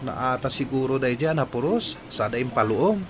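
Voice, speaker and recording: A man's voice: medium pitch, expressive, normal speed, crowd noise, lightly acted. An actor playing an earnest, dutiful young village man in a radio drama. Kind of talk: Philippine radio drama acting.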